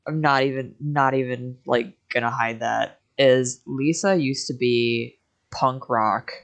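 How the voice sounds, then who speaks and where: A woman with a strikingly low voice gives casual game-stream commentary. She is candid and opinionated, talking as she would in an informal chat. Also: slow, gravelly.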